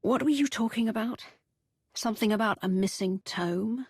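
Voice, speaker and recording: An actress playing an assertive Imperial commander in a video game, direct, probing and expressive. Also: female voice, fairly high pitch, moderate pace, husky, dry recording, studio quality. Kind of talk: dramatic video game voice acting.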